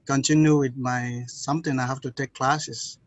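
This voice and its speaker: A man's voice: medium pitch, little pitch movement, normal speed, no echo. Hesitant young man. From Nigeria. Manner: hesitant, apologetic, many fillers. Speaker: student. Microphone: computer mic on video call.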